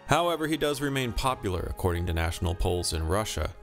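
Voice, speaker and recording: A man with a low voice works as a news interviewer. He speaks formally and politely and keeps pressing his point. Faint music sits behind him. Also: big pitch swings, quick pace, creaky. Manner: formal, polite but persistent. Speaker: host and interviewer. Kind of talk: mock news interview, scripted narration and questioning.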